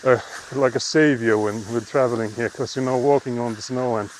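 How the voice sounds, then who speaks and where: A man's voice: medium pitch, fairly even intonation, a little fast, a little hiss or hum, low-quality recording. A man with a Finnish accent, chatting casually and laughing often while live-streaming on a frozen lake. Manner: chatty, laughs often, reads chat aloud.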